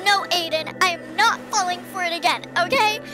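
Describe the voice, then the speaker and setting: A very high, childlike schoolgirl voice acted by a woman, sulky and guarded. It comes from an animated game roleplay drama with music behind it. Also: moderate pace. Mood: hurt, defiant. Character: hurt, wary young schoolgirl.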